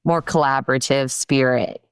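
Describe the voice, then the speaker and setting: Woman, podcast host, very low voice, resonant, dry room. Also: fairly even intonation, normal speed, clean tone, no room sound. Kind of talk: conversational interview.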